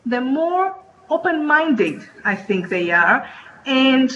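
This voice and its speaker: Woman, high voice, slow and halting, muffled call audio. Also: very animated, fairly bright tone. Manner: hesitant, many fillers and restarts. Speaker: English teacher and researcher. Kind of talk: panel discussion remarks.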